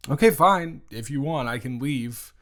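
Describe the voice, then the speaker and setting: A man playing a caring, awkward twenty-one-year-old best friend in an intimate audio roleplay, with halting, rambling, casual speech. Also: mid-range voice, animated, lightly acted. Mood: earnest, teasing. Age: young adult.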